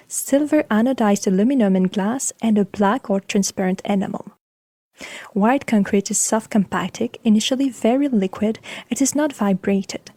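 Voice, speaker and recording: A woman podcast host reading scripted narration about architecture in a measured, formal way. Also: medium pitch, warm tone, no echo.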